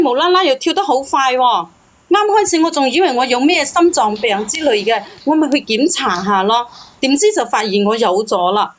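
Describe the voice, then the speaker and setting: A woman with a Cantonese accent calling in to tell a personal story in a hesitant, defensive, pleading way. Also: high-pitched. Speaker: phone-in caller. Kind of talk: personal confession, casual storytelling.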